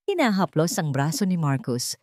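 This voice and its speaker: A woman's voice: low-pitched, big pitch swings, moderate pace, a little mellow, no echo, clean studio sound. A woman storyteller giving dramatic scripted narration of a romantic story.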